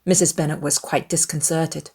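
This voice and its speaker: Woman narrating a classic novel, slightly low-pitched. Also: little pitch movement, moderate pace, darkish tone, husky. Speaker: audiobook narrator. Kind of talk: dramatized literary audiobook narration.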